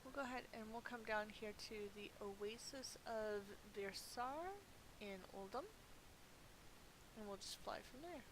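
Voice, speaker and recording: A woman's voice: a little high, measured pace. A woman giving casual live gameplay commentary as a streamer, chatty and thinking aloud. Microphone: budget gaming mic. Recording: faint hiss from a cheap microphone.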